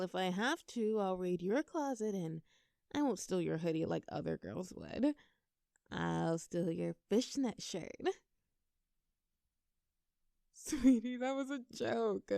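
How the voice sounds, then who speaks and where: Teasing, creaky-voiced girlfriend, acted by a woman. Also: mid-range voice, dark tone, no echo, studio quality, full character voice.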